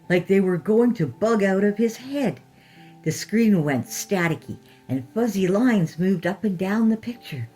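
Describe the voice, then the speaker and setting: A woman narrating a scripted horror story in a deliberate, expressive storytelling manner. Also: low-pitched.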